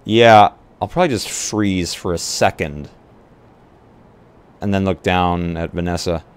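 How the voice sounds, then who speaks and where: A man playing a tabletop roleplay game, improvising casually with hesitant pauses mid-sentence. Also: deep voice, clean tone. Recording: noisy live stream.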